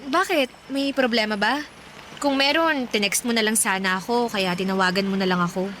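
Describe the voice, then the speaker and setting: A woman's voice: medium pitch, moderate pace, fairly bright tone, a little hiss or hum, close to an everyday voice. An actress playing a torn, evasive young engaged office woman in a Filipino radio drama. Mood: defensive, evasive. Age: young adult.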